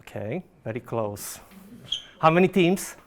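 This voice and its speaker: A man's voice: on the high side, lively intonation, a little slow. A Slovak man, a product manager, giving a conference presentation in careful non-native phrasing full of fillers and restarts.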